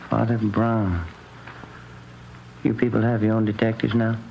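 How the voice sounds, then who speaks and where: Skeptical old radio detective, low voice, dry and laconic, muffled recording. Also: male voice, flat delivery, unhurried, gravelly. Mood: dryly skeptical. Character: plainspoken, skeptical male police detective, the sergeant's partner. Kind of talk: 1950s radio drama acting. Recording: dull old radio recording, faint noise.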